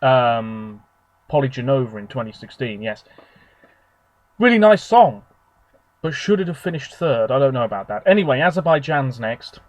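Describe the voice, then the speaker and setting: A man with a slightly hoarse, husky voice from a sore throat, chatting on his own channel. He talks in a rambling, relaxed way, giving his opinions one after another at a middle pitch. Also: normal speed, long pauses, no echo. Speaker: video host. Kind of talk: casual solo commentary.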